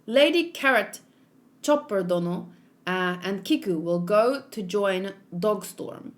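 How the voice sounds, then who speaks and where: A woman's voice: medium pitch, lively intonation, slow pace. A woman voicing an earnest samurai man in a manga, with formal, old-fashioned phrasing.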